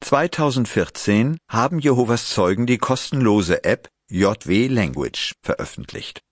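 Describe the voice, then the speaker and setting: A man reading a magazine article aloud in a measured, scripted way and posing interview questions. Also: medium pitch, no echo, studio quality. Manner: measured, even, clear read. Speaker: narrator and interviewer.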